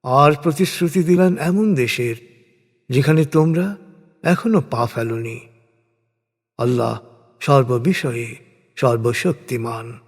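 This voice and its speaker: A man narrating translated scripture from a script in a solemn, formal, measured way with deliberate pauses. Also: mid-range voice. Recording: long reverberant hall-like echo.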